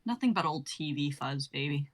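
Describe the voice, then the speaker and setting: A woman's voice: low-pitched, lively intonation, normal speed. A young woman reading stage directions for a comedy sketch in a theatrical, irreverent, declamatory way. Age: young adult. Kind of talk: theatrical comedic script narration.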